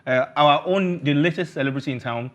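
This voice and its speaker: A man with a Nigerian accent hosting a casual entertainment chat, animated and jokey, often repeating phrases for emphasis. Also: on the high side, a little fast. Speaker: TV talk show host.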